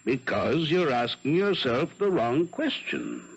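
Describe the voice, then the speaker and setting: A shrewd French sleuth in a 1970s radio mystery. He muses aloud with a theatrical, patronizing air and a very animated, swooping delivery. The sound is a slightly dull old broadcast. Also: medium pitch, slow, vocal fry, slightly rough, exaggerated voice. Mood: condescending, probing. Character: shrewd, condescending French amateur detective. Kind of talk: 1970s radio drama acting.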